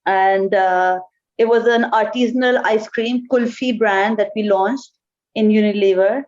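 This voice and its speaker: A woman whose first language is Urdu, a marketing manager answering interview questions thoughtfully, often pausing with "uh". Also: medium pitch, steady tone, darkish tone. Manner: thoughtful, with frequent "uh" pauses. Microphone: remote call. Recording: dull, lo-fi call audio.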